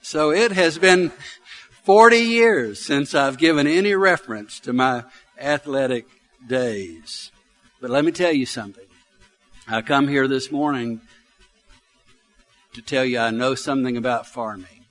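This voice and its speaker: A man's voice: mid-range voice, a little slow, pauses often, fairly bright tone. An older male pastor in his sixties speaks with a Southern American accent and a mid-range voice. He delivers his sermon as conversational, down-home storytelling, addressing his listeners directly and leaning on repetition for emphasis. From Alabama, United States. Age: 60s. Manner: folksy, conversational storytelling with repeated phrases for emphasis. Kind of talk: sermon with personal anecdotes.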